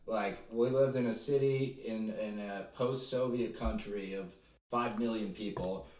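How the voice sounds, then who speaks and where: Low-voiced young man in a roomy room. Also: fairly even intonation, unhurried, a little mellow. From Ukraine. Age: 20s. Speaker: podcast guest. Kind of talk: casual interview chat.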